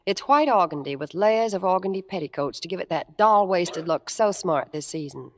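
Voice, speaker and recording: A woman's voice: on the low side, lively intonation, normal speed, slight background noise. A woman presenting a 1950s radio commercial in scripted, polished, gushing promotional chat.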